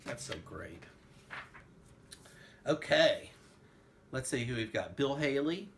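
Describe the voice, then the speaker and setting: A man reads aloud to his child as a playful dad, casual and full of asides. His voice sits at a medium pitch, captured in a home recording with faint background noise. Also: sing-song, slow pace, creaky, a bit bright. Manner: playful, breaks into song and asides. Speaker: father reading aloud to a child. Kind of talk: casual read-along of a picture book with sung snippets.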